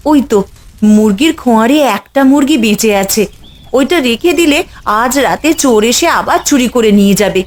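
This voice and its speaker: A woman's voice: on the high side, lively intonation, moderate pace, natural voice. A woman voicing a caring village mother in a cartoon, practical and talking to herself. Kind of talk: Bengali cartoon voice acting.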